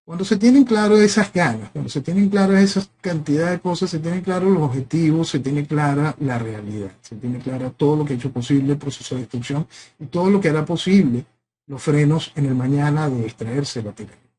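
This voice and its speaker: A man with a Venezuelan accent giving an impassioned political monologue, piling up rhetorical questions and swinging between loud peaks and quieter drops. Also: a little high, brisk, a little hiss or hum. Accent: Venezuelan Spanish. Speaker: political commentator.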